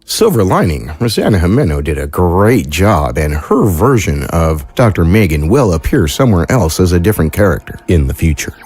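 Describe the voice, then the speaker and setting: A man's voice: sits low, very animated, moderate pace, hardly any pauses, darkish tone, slightly rough. A man hosting a fiction podcast, giving scripted introductions and announcements. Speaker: host and producer.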